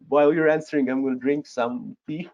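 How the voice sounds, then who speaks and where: A man's voice: fairly high pitch, animated, moderate pace, darkish tone. A man in his thirties whose first language is Russian, teaching a live webinar with a Russian accent. He talks straight to the chat, tags his points with "yes?" and chuckles now and then. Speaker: webinar host, Amazon seller coach. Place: home room, indoors. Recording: slightly dull lo-fi webcam audio.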